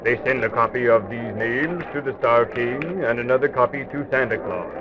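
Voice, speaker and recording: Guy acting as kindly formal knight guide, old kids radio show, medium pitch, hissy muffled recording. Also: natural voice. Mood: patient, explaining. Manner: measured, formal, explanatory. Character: kindly male enchanted armored knight guide, patient and stately. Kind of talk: old-time children's radio serial acting. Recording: noisy, dull vintage radio recording.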